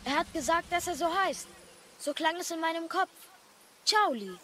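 Eager, high-voiced young boy. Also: moderate pace, bright, forceful tone, slight background noise. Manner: inquisitive, earnest. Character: curious, eager young boy, warrior's son. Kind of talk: German audio drama acting.

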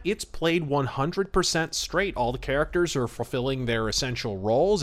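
A man's voice: medium pitch, a bit bright. A man hosting a podcast and giving conversational, detailed film commentary with a wry manner. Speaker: podcast host.

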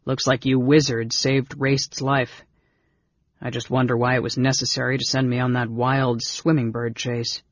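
A man voicing a big, anxious, good-hearted warrior twin in a storybook, with a gruff, blustering, muttering manner. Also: medium pitch, level tone, moderate pace, no echo, dull audio, natural voice. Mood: wary grumbling.

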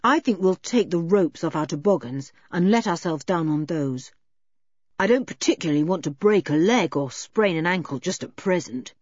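A woman voicing a calm, sensible older boy who leads the group in a storybook reading. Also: fairly low pitch, moderate pace, slightly rough, dry recording, dull audio. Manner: calm, reasonable.

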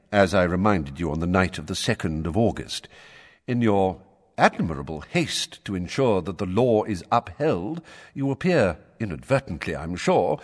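A man voicing an old wizard who speaks mildly, politely and in measured sentences. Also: medium pitch, slightly rough, long reverb tail, pitched above the performer's own voice. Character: calm, courteous old male wizard, quietly firm.

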